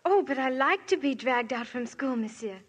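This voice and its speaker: A breathy, high-pitched schoolgirl, answers questions with polite eagerness. She speaks in the crisp, earnest style of 1950s radio drama acting. Her voice is airy and thin rather than clear. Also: animated, normal speed. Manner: polite, keeps adding "monsieur". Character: polite cheeky schoolgirl witness.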